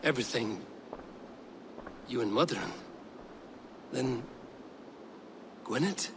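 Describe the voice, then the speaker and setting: Stern Viking warrior, echoing reverb. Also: male voice, medium pitch, lively intonation, takes it slowly, background noise. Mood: accusing. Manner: blunt, commanding, intense. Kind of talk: dramatic video game acting. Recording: long reverb, music behind.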